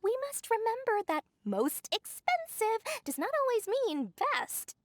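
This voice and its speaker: A woman's voice: very high-pitched, huge pitch swings, unhurried, dry recording, heavily acted. A woman voicing a wily, self-assured and wordy character in a fantasy video game, with theatrical delivery. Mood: persuasive. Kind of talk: English video game voice acting. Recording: dry studio voice with music behind.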